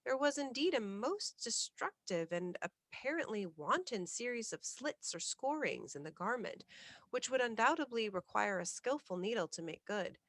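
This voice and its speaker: A woman's voice: fairly high pitch, big pitch swings, normal speed, a bit bright, no echo. Woman narrating a ghost story, dry close sound. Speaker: storyteller, reader of ghost stories. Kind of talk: scripted narration, bedtime ghost story reading.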